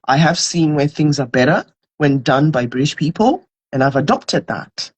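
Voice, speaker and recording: A woman's voice: sits low, animated, normal speed, breathy edge. A woman from Zimbabwe, a guest in a conversational interview, explaining earnestly with everyday examples.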